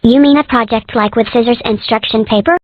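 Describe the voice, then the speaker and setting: A woman's voice: fairly high pitch, fast, no room sound, lightly acted. A woman voicing a naive, innocent little animal boy in a comic strip dub. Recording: dull lo-fi sound.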